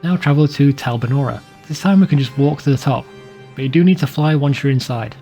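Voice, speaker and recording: A man's voice: medium pitch, fairly quick, warm tone, faint music behind. A man reading a scripted video game walkthrough in a plain, informal, instructional way. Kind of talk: scripted guide narration.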